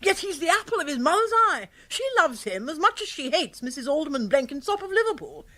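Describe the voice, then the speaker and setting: Bright, high-voiced, bossy English aunt. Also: female voice, very animated, fairly quick, exaggerated voice. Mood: teasing, gossipy. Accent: upper-class English. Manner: forthright, teasing, emphatic. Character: brisk, bossy, teasing upper-class English aunt. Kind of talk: radio comedy drama acting.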